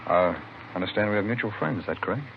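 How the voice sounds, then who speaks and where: Wary, polite narcotics dealer: vintage radio villain, noisy and muffled recording. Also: male voice, fairly low pitch, expressive, quick pace, gravelly. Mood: polite, probing. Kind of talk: 1950s radio crime drama acting.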